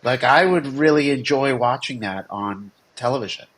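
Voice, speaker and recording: A man's voice: mid-range voice, normal speed, fairly bright tone, slightly rough, rough recording. A man co-hosting in a casual, unscripted chat, talking in a rambling, jokey way. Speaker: co-host and game developer.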